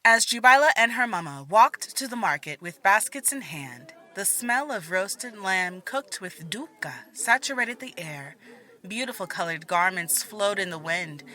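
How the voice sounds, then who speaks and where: A woman narrating a fantasy audio story in a measured, soft-spoken manner. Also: medium pitch, expressive, rough recording. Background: faint music.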